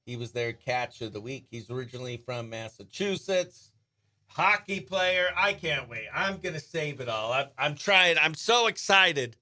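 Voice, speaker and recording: High-pitched, bright, excitable man hosting radio. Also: moderate pace. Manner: excitable, exclamatory, breezy. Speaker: radio host. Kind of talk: livestream radio show chatter, shout-outs to viewers.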